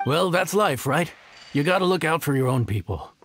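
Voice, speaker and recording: An actor playing a friendly, humble young inn chef in a video game, folksy and easily flustered. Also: male voice, a little high, animated, normal speed, fairly bright tone, a little hiss or hum. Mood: confiding. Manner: affable, folksy, slightly nervous. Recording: game music behind the voice.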